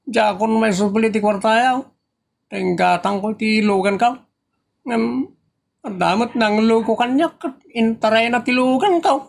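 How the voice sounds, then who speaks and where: Man acting a high, gravelly, pleading debtor, Ilocano accent. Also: a little slow. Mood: pleading, contrite. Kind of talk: Ilocano audio comedy-drama acting.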